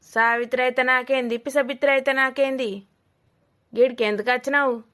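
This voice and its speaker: A woman's voice: high-pitched, steady tone, fairly quick, fairly bright tone. A woman voicing a suspicious, scolding old village woman in a cartoon comedy, with a Telangana accent, blunt and mocking. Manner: blunt, mocking, rambling accusations.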